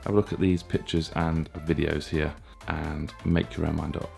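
Guy with a cold reviewing a phone, super low croaky voice, lots of uhs. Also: moderate pace, soft background music. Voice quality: gravelly. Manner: relaxed, plain talk with frequent fillers and restarts. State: chesty, deep hoarse voice from a cold. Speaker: tech reviewer. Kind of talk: casual product review.

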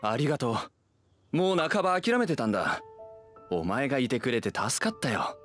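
A man playing a plain-spoken, gruff, anxious winery warehouse manager in an anime game. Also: mid-range voice, big pitch swings, normal speed, fairly bright tone, soft background music. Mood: earnest.

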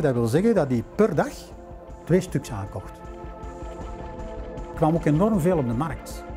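A man's voice: mid-range voice, warm tone. Flemish man telling a museum's story. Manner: measured, storytelling with filler "eh". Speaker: museum director. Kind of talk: documentary interview storytelling. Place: library room with bookshelves. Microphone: lapel mic.

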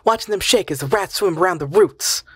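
Fraught middle-aged wife, high and hysterical, read by a male narrator. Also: expressive, a little fast, a bit bright, breathy edge, no room sound, studio quality, full character voice. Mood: bitter. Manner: rising hysterical pitch. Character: desperate weary middle-aged wife, shrill.

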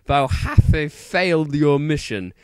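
A man voicing a menacing dark lord villain who speaks in an archaic, commanding, ominous way. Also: a little high, animated, slow pace, smooth, no room sound.

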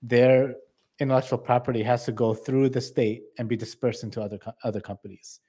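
A man's voice: medium pitch, steady tone, fairly quick, warm tone, breathy edge. A man co-hosting a casual political livestream, interjecting with conversational, analytical commentary. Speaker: show co-host. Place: indoor room, livestream video call.

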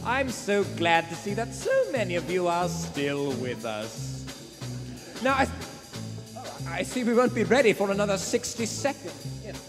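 A suave vintage radio narrator, played by a man, with a high voice that swings dramatically through the lines. He sounds theatrical, in a reverberant theater with music clearly underneath. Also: very animated, measured pace. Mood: genial. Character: suave old-time radio announcer narrating a thriller. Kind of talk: 1940s radio play narration. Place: live on a theater stage.

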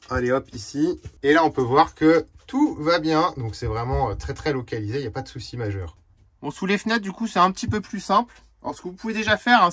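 A man's voice: fairly high pitch, a bit bright, no room sound. A man giving a casual, slangy product demonstration to his viewers, with a joking, informal way of talking. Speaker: camper van vlogger testing a product.

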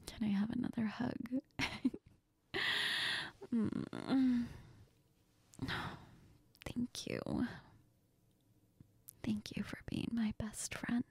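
A woman playing a heartbroken, self-doubting young best friend in an intimate audio role-play, tearful and sniffling, speaking haltingly in a near whisper. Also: on the high side, fairly even intonation, vocal fry, dark tone, slightly rough, heavily acted. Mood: tearful, grateful.

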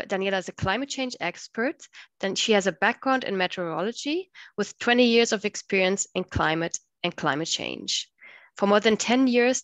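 A woman's voice: medium pitch, a bit bright, no room sound. Middle-aged woman moderating a webinar on a headset. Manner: hesitant, many fillers and restarts. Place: indoor room, video call. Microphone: headset on a video call.

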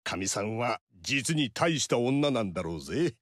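A man voicing a gruff veteran warlord with a rough, teasing, drawling way of talking in video game dialogue. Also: mid-range voice, big pitch swings, normal speed, no room sound, clean studio sound, close to an everyday voice. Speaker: warlord character.

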